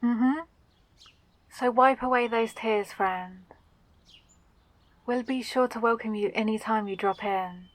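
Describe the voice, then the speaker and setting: A woman playing a kind, eager mage who teaches magic gently and encouragingly in a roleplay audio drama. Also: a little high, slow pace, long pauses, no echo. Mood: comforting.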